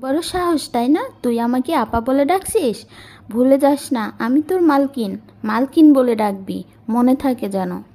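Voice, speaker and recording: A woman's voice: sits high, lively intonation, unhurried, mellow, full character voice. A woman voicing a vain, jealous young landlord's daughter who bullies servants in a cartoon. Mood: bossy, scornful.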